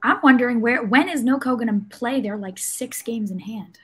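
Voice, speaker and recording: A woman's voice: fairly high pitch, normal speed, slightly rough. Emphatic woman in a casual sports chat. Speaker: sports podcast panelist. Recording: faint background noise.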